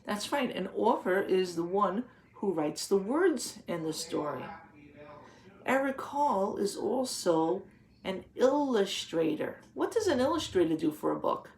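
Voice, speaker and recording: A woman's voice: medium pitch, very animated, unhurried, darkish tone, poor audio. A woman teacher reading a picture book aloud to young children, patiently explaining in simple words and putting questions to the listeners.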